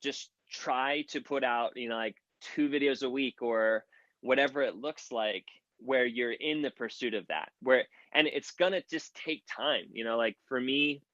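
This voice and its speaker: A man's voice: mid-range voice, unhurried, fairly bright tone, dry recording. A man talks through advice in an earnest, rambling way, leaning on "like" as a filler. The sound is dry and slightly dull. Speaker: guest creator giving advice. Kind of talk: casual chat, audio room.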